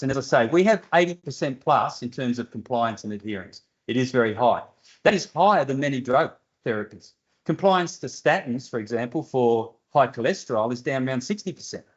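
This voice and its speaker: An older Australian man takes questions in a university seminar room, talking straight and direct with a dry edge of humour. His voice sits at an ordinary pitch, and the recording sounds dull and a little muffled. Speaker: guest lecturer answering audience questions. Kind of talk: seminar Q&A explanation.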